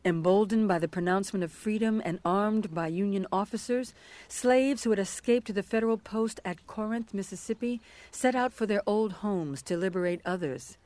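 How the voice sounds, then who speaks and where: Female narrator, mid-range pitch, scripted historical narration, measured, formal. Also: a little mellow, no echo.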